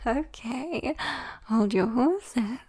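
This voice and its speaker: Woman acting as a sweet possessive demon girl, British accent, very breathy whisper, slow, teasing, coaxing, ASMR roleplay. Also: fairly high pitch, expressive, dark tone, full character voice. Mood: playful teasing. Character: sweet, teasing, possessive young female demon comforting a human.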